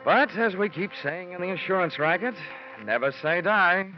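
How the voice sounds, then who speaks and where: An American man playing a wry, deadpan hardboiled insurance investigator in a 1950s-style radio detective drama. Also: sits high, normal speed, faint music behind. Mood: dry, sardonic. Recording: muffled old radio broadcast.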